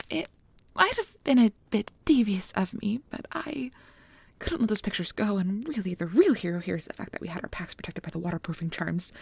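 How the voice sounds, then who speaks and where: A woman playing a nervous, earnest, principled field researcher of magical creatures in an ASMR audio drama, soft and hesitant with stammers, close to the mic. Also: medium pitch, no echo. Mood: flustered, defensive. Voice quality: breathy, soft. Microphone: close mic, dry recording.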